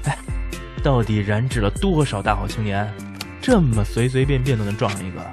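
A man's voice: on the low side, normal speed, lightly acted. A man playing a chummy, teasing young finance manager in an audio drama, with playful delivery. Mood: amused, teasing. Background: background music.

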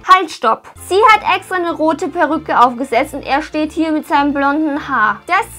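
A woman hosts a casual reaction chat, expressive and full of exclamations. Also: high voice, normal speed. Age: 20s. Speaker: video host. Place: small decorated room indoors. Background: background music.

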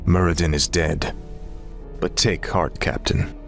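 Low, cold young prince bent on vengeance, measured game-cutscene delivery over faint music. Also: male voice, steady tone, creaky. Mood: cold resolve. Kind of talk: video game cutscene acting.

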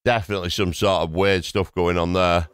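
A man's voice: sits low, little pitch movement, a little fast, a little hiss or hum. A man giving casual, reactive commentary as he plays a video game. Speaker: gaming video host. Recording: game music behind the voice.

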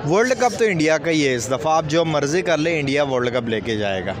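A man in his twenties with a Pakistani accent talks casually and emphatically as a sports fan. His voice sits a little high. He is outdoors on a handheld mic with a busy crowd behind him. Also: animated, fairly quick. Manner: emphatic, full of superlatives. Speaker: street interviewee, cricket fan. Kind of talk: casual opinion in a vox pop. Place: outdoors at night by parked cars and traffic. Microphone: interviewer's handheld microphone. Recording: busy outdoor street noise.

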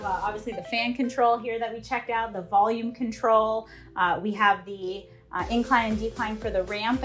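A woman's voice: on the high side, a bit bright. A woman in her thirties casually reviewing home gym equipment to camera in a bare indoor room. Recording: echoey room, faint music behind.

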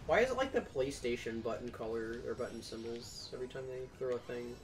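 A man's voice: mid-range voice, fairly quick, faint music behind, low-quality recording. A young man chatting casually while playing a video game with a friend, joking and laughing often. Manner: joking, giggly, animated. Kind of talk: casual gaming chat.

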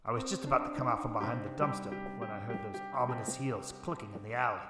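An actor playing a hard-boiled, world-weary 1940s private investigator in a noir radio drama, with tough, wry delivery. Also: male voice, medium pitch, quick pace, echoey room, loud music behind the voice, natural voice. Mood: wry, brooding.

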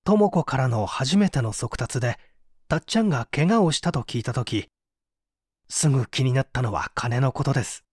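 An actor playing a poor, contrite painter making an earnest, theatrical confession in a dramatic audio mystery. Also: male voice, medium pitch, expressive, normal speed, slightly rough, no echo, studio quality. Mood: remorseful, wistful. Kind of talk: dramatic Japanese audio reading of a mystery story.

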